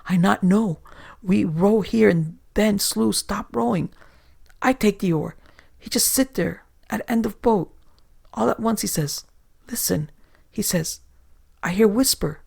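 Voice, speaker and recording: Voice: medium pitch, a little slow, vocal fry, pauses often, a little mellow, husky, pitched above the performer's own voice, heavily acted. A narrator voicing a terrified, big swarthy sailor who stammers and whispers in broken English.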